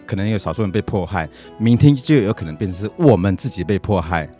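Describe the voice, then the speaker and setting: A man with a Taiwanese Mandarin accent talks casually as an interview guest. His voice sits fairly low and moves quickly, earnest and emphatic, circling back on phrases. Soft guitar music plays behind him. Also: animated. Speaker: guest, social activist.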